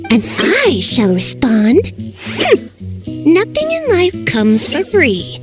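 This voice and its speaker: Scheming mushroom know-it-all, high voice, swooping delivery, pompous, condescending, video game character. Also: female voice, wildly swooping pitch, slow pace, music in the background, exaggerated voice. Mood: smug, pompous. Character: smug, scheming green-capped mushroom creature. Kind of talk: animated video game voice acting.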